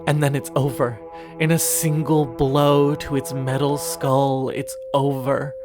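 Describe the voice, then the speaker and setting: A man narrating a second-person horror story in a hushed, deliberate, literary way. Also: medium pitch, level tone, over music.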